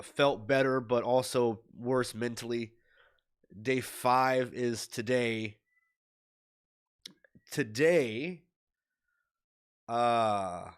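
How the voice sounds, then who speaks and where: A young man with a stuffy nose and a scratchy voice from illness, rambling worriedly with lots of fillers in a casual video diary to his webcam. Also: medium pitch. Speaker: streamer. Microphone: webcam at desk.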